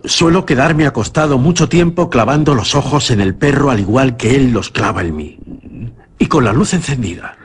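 A man's voice: mid-range voice, expressive, normal speed, a little hiss or hum, natural voice. An actor playing a nervous, evasive man haunted by hallucinations in a radio suspense drama, with hesitant, stammering delivery. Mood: haunted, insistent.

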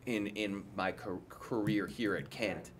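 Low-voiced coach, candid and rambling. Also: male voice, unhurried, slight background noise. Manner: candid, rambling explanations with many restarts. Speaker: head basketball coach. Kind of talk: post-game press conference answers. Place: indoor press room, seated at a table.

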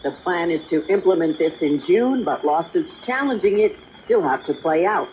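A woman's voice: fairly low pitch, expressive, normal speed. A woman reading a scripted news report as a radio reporter. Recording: dull AM radio with faint noise.

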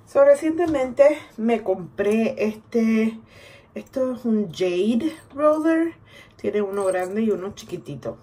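Slow-talking bilingual woman. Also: on the high side. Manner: relaxed, switches between Spanish and English. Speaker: vlogger. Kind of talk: casual bilingual vlog, narrating a skincare routine. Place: small indoor bathroom. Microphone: camera or phone at about arm's length. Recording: clearly noisy home bathroom recording.

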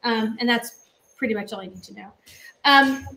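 A chatty middle-aged woman talks through a cooking demo from her home kitchen, rambling along with plenty of ums. Her voice sits fairly high. It comes over a webcam on a video call and bounces around an echoey room. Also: normal speed, pushed and bright. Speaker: guest cook. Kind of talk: casual cooking demo chat. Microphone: laptop webcam on a video call.

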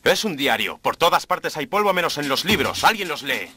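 A man's voice: on the high side, big pitch swings, moderate pace, sharp tone, breathy edge, no echo, faint music behind, full character voice. An actor playing an earnest, easily alarmed male jungle explorer in a cartoon, formal and urgent in manner. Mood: matter-of-fact. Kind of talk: animated cartoon dub acting.

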